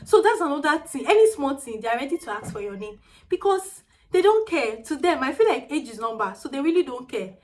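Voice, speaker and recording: A young Nigerian woman with a high, small voice that sits well above most women's. She talks in a lively, chatty way, telling stories to her viewers and dropping in pidgin phrases. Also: a little fast. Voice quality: small, tiny-sounding voice. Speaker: vlogger. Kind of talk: casual storytelling vlog.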